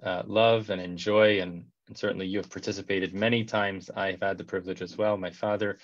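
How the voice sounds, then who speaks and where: A man in his thirties hosting a video call interview, warm and effusive, often repeating words as he talks, speaking through a headset. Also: sits low, flat delivery, a little fast, no echo. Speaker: host. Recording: dull, dry video call audio.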